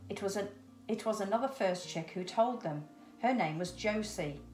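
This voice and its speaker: A woman's voice: medium pitch, moderate pace, a little mellow, soft background music. A middle-aged woman reading a children's story expressively. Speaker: storybook reader. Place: indoors, small room with cupboards. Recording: roomy echo.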